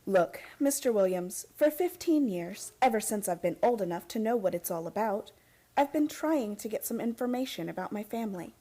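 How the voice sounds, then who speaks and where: An actress playing a frustrated young heiress in an old-time radio play, earnest and full of questions. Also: female voice, medium pitch, expressive, moderate pace, mellow, close to an everyday voice. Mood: insistent, frustrated. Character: frustrated, insistent young heiress seeking family secrets.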